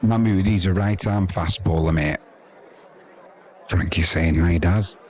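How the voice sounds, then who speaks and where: A man's voice: deep voice, a little slow, darkish tone, some chatter behind. A man giving informal, matey live cricket commentary and chatting casually with his audience. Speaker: live sports stream commentator.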